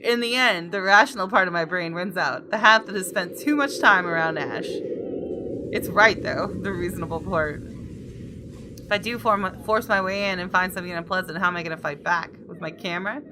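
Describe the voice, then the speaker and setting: A woman's voice: lowish voice, big pitch swings, moderate pace, background noise. A woman game streamer reading visual novel text aloud, dramatically and with playful outbursts. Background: game music with guitar.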